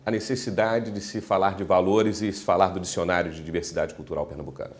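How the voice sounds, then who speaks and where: A male talk-show host with a low voice. He frames his questions in a formal, measured way. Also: fairly even intonation. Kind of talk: interview questions.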